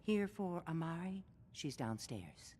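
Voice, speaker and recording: Sultry, teasing lounge matron. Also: female voice, on the low side, very animated, slow pace, darkish tone, a little hiss or hum. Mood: dry. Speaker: game character.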